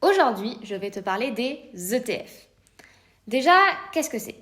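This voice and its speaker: A woman's voice: on the high side, animated, measured pace, fairly bright tone. A woman with a French accent hosting a podcast, explaining in a scripted, didactic way while addressing the listener informally with rhetorical questions. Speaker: podcast host, private investor.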